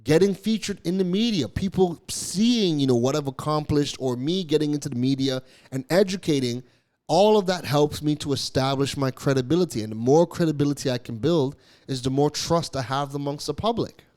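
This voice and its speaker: A man's voice: fairly high pitch, brisk, darkish tone. A man raised in Canada hosting a business podcast, talking emphatically, repeating key phrases and now and then stumbling over his words. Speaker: podcast host. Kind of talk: solo business podcast monologue.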